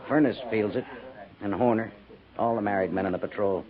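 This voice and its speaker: An actor playing an earnest, homesick cavalry major in a 1950s radio western, reflective and questioning. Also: male voice, lowish voice, brisk, a crowd in the background, lightly acted. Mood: earnest, wistful. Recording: tinny 1950s radio recording, busy background.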